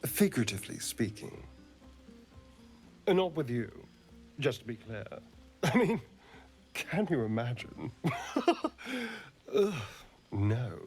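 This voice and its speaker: A man's voice: on the high side, husky, heavily acted. An actor playing a vain, flirtatious, theatrical man in a fantasy game, with an arch, drawling delivery. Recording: music behind the voice.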